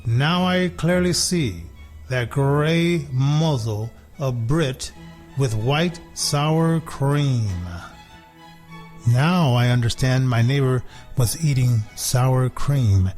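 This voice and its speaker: Smug, possessive storybook cat, voiced by a man, mid-pitched, slow and measured, music behind. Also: a little mellow. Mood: smug, unhurried. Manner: deliberate, self-satisfied storybook telling with pauses. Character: smart, smug, possessive pet cat telling its life. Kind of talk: children's story reading.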